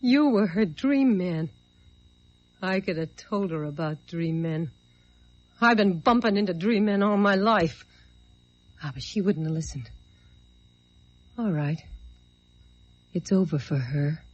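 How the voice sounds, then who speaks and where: World-weary young tough girl, radio noir. Bitter, threatening, rough-edged voice, muffled vintage sound. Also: on the low side, animated, takes it slowly, pauses often, no room sound. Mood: bitter, menacing. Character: bitter, hard-boiled young woman bent on avenging her sister. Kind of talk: old-time radio drama acting. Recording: dull old radio recording.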